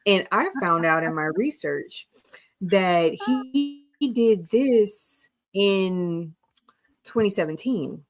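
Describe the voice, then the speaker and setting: A woman's voice: mid-range voice, animated, slow pace, soft background music. A woman co-hosting a casual live-stream discussion, explaining earnestly and checking her notes as she goes. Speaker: co-host.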